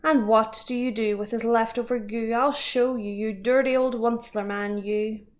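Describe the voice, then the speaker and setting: Lady doing a grumpy croaky little critter, coughs and scolds, pitched up. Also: fairly even intonation, normal speed, lightly acted. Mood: angry. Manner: loud and scolding. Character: grumpy small male forest creature, scolding.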